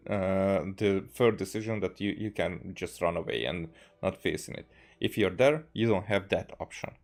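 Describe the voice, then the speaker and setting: Low-voiced man, halting game commentary. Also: darkish tone. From Hungary. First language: Hungarian. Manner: halting, stammering, earnest. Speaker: game streamer. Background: game music.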